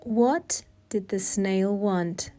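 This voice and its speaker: A woman reading aloud to young children in an expressive, deliberate storytelling manner. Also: mid-range voice. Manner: deliberate, addressing young listeners with questions. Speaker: storybook reader and language assistant. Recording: background music track. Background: instrumental music backing.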